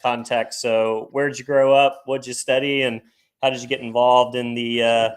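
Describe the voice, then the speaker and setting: A man hosting an interview, reading a guest introduction and then asking questions in a steady, even manner. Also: medium pitch, normal speed, clear, resonant tone. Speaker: podcast host.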